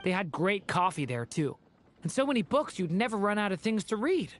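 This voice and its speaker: An actor playing a gentle, earnest and hesitant young male character in a video game. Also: medium pitch, big pitch swings, fairly quick, slight background noise, natural voice. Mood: thoughtful, hopeful. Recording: music behind the voice.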